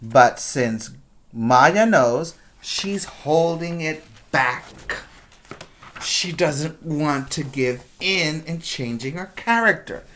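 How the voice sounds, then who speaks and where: A preschool teacher reading a storybook aloud and explaining it to young children in deliberate, simple phrases, repeating words for emphasis. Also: mid-range voice, lively intonation, a bit bright, slight background noise.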